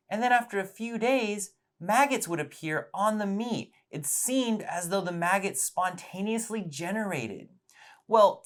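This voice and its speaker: A man's voice: fairly high pitch, a bit bright. A man presenting a science lesson as storytelling, explaining things simply and with emphasis.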